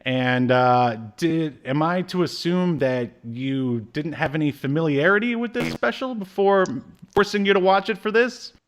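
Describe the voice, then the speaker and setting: A man's voice: a little high, normal speed. A man in his thirties with a slight cold, chatting casually and jokingly as a podcast co-host reviewing pop culture. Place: home room with shelves, video call.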